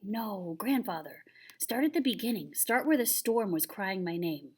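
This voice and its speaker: A woman's voice: medium pitch, moderate pace, husky, voice pushed up. Woman voicing an eager, insistent little storybook boy. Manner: pleading, excited questioning. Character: eager, insistent young boy begging his grandfather for stories. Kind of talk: children's picture book read aloud.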